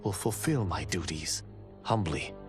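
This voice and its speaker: An actor playing a vain, self-assured martial arts hero turned teacher, narrating theatrically in a cocky way for a video game. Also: male voice, sits low, expressive, measured pace, over music. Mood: self-important. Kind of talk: scripted dramatic game narration.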